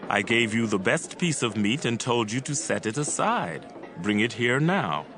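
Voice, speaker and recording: An actor playing a calm, authoritative male prophet in dramatized scripture, assured and hospitable. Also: medium pitch, normal speed, music in the background, natural voice.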